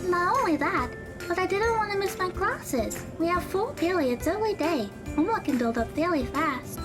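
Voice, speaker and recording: Over background music, a woman plays a studious teenage girl with a very high, animated voice. She is earnest and encouraging, choosing her words precisely and formally, in the manner of an audio drama. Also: moderate pace.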